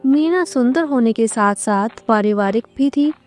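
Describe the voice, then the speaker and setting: A woman's voice: fairly high pitch, expressive, a little slow, faint music behind. A woman narrating a scripted cartoon story as a storyteller.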